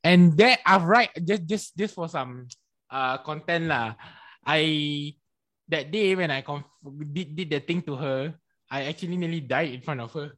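A man's voice: fairly high pitch, measured pace, a bit bright. A young man from Malaysia with a Malaysian English accent, co-hosting a casual chat and rambling with repeated words. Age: young adult. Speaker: podcast co-host.

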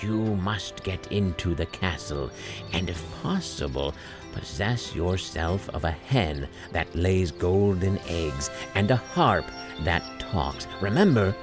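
A man's voice: low voice, expressive, over music. A man voicing a mysterious fairy lady in a storybook, solemn and grave as she gives counsel. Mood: solemn, urging. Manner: grave, deliberate.

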